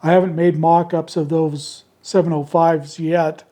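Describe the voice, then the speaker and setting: A man with a hoarse, worn-out voice, chatting casually about his handmade radio gadgets in an easygoing, rambling way from his indoor radio shack. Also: on the high side, level tone, a little slow, dark tone. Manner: easygoing and rambling, homespun phrasing. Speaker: ham radio channel host selling handmade keypads. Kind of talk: casual product talk to camera.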